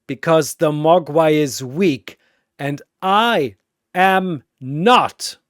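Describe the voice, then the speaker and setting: Man playing a slow, gloating godlike villain. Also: a little high, fairly bright tone, dry recording, studio quality, pitched above the performer's own voice. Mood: gloating menace. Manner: drawn-out, taunting, theatrical. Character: gloating, menacing godlike male villain personality.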